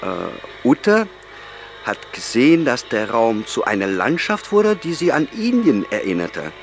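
An actor playing an earnest, solemn young man speaking deliberately in an audio drama. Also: mid-range voice, huge pitch swings, soft background music. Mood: earnest, searching.

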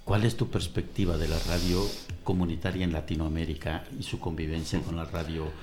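A man with a Guatemalan accent, a community radio co-host, politely asking a guest questions in a slightly halting way. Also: low-pitched, level tone, over music.

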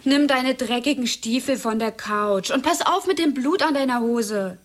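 High-voiced, girlish, whiny young woman. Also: lively intonation, moderate pace, background noise. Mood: earnest, chiding. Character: childlike, naive, whiny young female killer's girlfriend. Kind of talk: German radio drama acting.

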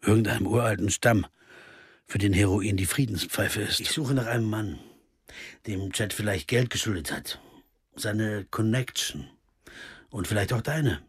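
An actor playing a sober, observant pianist sleuth, telling a radio crime story in an understated, deliberate way. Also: male voice, fairly low pitch, breathy edge, dry recording, clean studio sound. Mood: probing. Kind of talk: first-person radio crime narration and dialogue.

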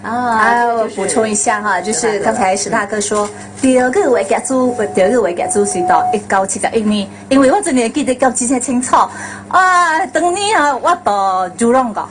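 A woman's voice: a little high, animated, normal speed, crowd noise. An older woman, a radio drama performer, reminiscing casually and eagerly in an interview, repeating phrases emphatically.

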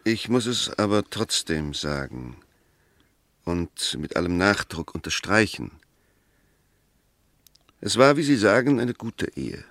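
English defence barrister, 1960s radio drama: lowish voice, formal, firm, methodical emphasis, very dry sound. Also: male voice, animated, takes it slowly, long pauses, fairly bright tone, no echo, full character voice. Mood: firm, emphatic. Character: Mr. Oliver, firm, reasoning English defence lawyer. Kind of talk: 1960s German radio play, formal debate.

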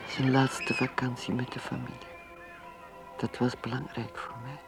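An actress playing a wistful, wounded middle-aged mother in a radio drama monologue, half-whispered and breathy. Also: very deep voice, level tone, unhurried. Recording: radio play with music underneath.

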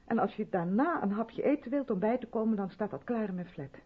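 Radio drama, female role: strict, insistent rehearsal leader, persuasive and pointed, very expressive intonation, muffled vintage sound. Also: medium pitch, brisk, husky. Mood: cool, businesslike. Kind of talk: 1970s Dutch radio drama acting.

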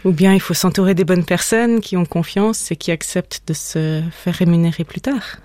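Calm woman in her thirties, firm and direct. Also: on the low side, moderate pace, no echo, clean studio sound. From Switzerland and Denmark. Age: 30s. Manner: calm, firm, plain-spoken. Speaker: documentary filmmaker, guest. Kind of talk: radio interview.

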